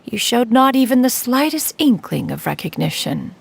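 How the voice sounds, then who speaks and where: A woman's voice: fairly high pitch, very animated, normal speed, gravelly, husky, exaggerated voice. A woman playing a proud, aloof, ancient immortal crane spirit in a fantasy game, speaking in a formal, haughty, archaic manner. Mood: measured, haughty. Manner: formal, haughty, archaic, calls herself "one". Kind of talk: scripted fantasy game dialogue.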